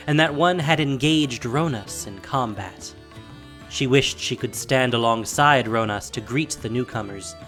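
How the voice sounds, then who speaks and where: A woman narrating a scripted fantasy story in a theatrical, measured, dramatic style. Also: very deep voice.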